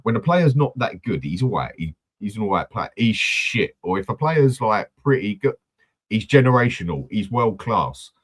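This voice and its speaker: A man's voice: fairly low pitch, big pitch swings, moderate pace, husky. A man hosting a casual football fan chat, blunt and swearing freely. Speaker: host of a football fan channel.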